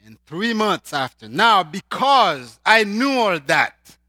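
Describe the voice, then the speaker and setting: A man from Haiti with a Haitian accent, preaching a sermon and swinging between loud emphatic lines and quiet asides. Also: high-pitched, very animated, takes it slowly, dry recording, clean studio sound.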